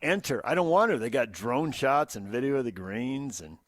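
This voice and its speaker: A man's voice: mid-range voice, lively intonation, normal speed, dry recording. A man hosting a conversational sports talk radio show on a close studio microphone.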